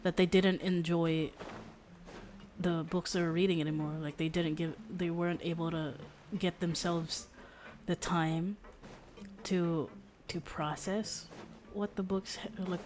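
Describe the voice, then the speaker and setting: A woman's voice: fairly low pitch, level tone, a little slow, darkish tone, slight background noise. A woman streamer chatting casually and ramblingly while a game plays. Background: game music.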